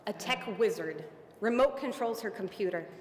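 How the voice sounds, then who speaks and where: A woman's voice: mid-range voice, lively intonation, a crowd in the background. Woman telling a tender story, echoey hall. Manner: tender, slows down on emotional lines. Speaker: storyteller at a theater event. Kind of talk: heartfelt personal story read aloud. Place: theater stage. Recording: echoey hall, faint ambience.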